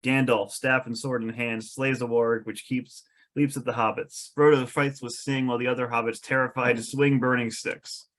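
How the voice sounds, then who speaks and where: A man's voice: medium pitch, little pitch movement, moderate pace, hardly any pauses, fairly bright tone. A man reading scripted stage directions as narrator at an amateur comedic table read.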